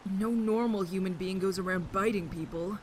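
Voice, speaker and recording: A woman's voice: mid-range voice, moderate pace, a little hiss or hum, natural voice. A woman playing an earnest, nervous, clever teenage boy in an audio drama, hesitant yet eager. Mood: anxious.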